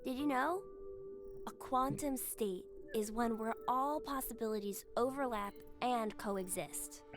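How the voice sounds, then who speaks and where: A woman voicing a calm, high-voiced young robot. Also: lightly acted. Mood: calm, explanatory. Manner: measured, explanatory, deliberate phrasing. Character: calm earnest young robot explaining itself. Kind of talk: video game voice acting. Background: game music.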